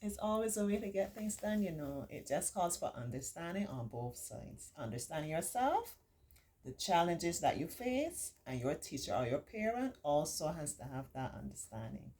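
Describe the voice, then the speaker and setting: A middle-aged woman hosting a children's storytime, reading aloud and adding reassuring, encouraging teaching asides with drawn-out emphasis. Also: low-pitched, sing-song, warm tone, a little hiss or hum. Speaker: children's storytime host and remedial tutor.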